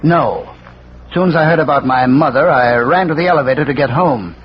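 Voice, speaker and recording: A man's voice: a little high, brisk, natural voice. An actor playing a plain-spoken, insistent working man pleading his case in a 1940s radio drama. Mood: defensive, earnest. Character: earnest, defensive working man under police questioning. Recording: noisy muffled vintage radio recording.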